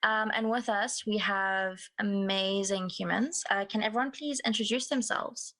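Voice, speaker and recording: A woman's voice: medium pitch, fairly even intonation, a little slow, sharp tone. A woman hosting a casual podcast, reading out discussion questions in an upbeat way. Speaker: podcast host.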